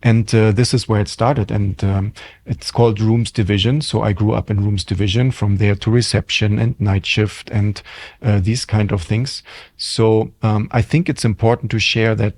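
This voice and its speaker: A man's voice: lowish voice, steady tone, normal speed, a little mellow. A middle-aged man with a German accent, a hotel general manager telling his career story in a podcast interview, reflective and full of ums and restarts.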